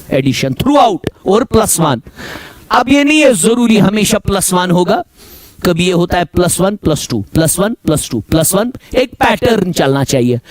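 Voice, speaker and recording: A man with a Kashmiri accent teaching reasoning in class, going over each point slowly and again, switching between Hindi and English and calling his students beta. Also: sits high, dry recording. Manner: slow, repetitive, mixes Hindi and English, addresses students as beta. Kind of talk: classroom lecture.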